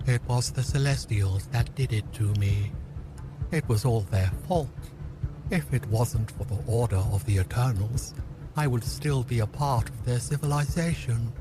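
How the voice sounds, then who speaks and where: A man plays a gloating outcast schemer in an audio drama. He speaks in a medium-pitched male voice, theatrical and taunting, full of bitter grandeur. Music plays clearly underneath. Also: a little slow, lightly acted.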